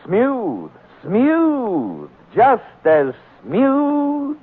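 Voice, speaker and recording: A man giving a drawn-out, emphatic 1940s radio advertising pitch. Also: wildly swooping pitch. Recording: phone-like 1940s radio recording.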